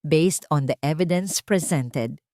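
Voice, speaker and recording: A woman's voice: low-pitched, slow pace, dark tone, no room sound, studio quality. A woman voicing a stern female judge, formal and unwavering, speaking with a Filipino accent. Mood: final, commanding. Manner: formal, firm, unwavering, clear.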